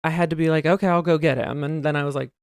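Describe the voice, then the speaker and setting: A man co-hosting a casual podcast chat, talking in a soft, breathy voice that listeners call soothing, telling stories animatedly. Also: a little high, very fast, no echo, clean studio sound. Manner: chatty, animated storyteller. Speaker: podcast co-host.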